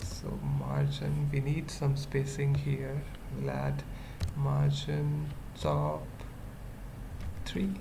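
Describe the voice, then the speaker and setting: A coding tutorial presenter thinking aloud in short, halting fragments during an unscripted screencast. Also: medium pitch, big pitch swings, creaky. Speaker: web development tutorial presenter. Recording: noisy mic, keyboard typing. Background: computer keyboard typing.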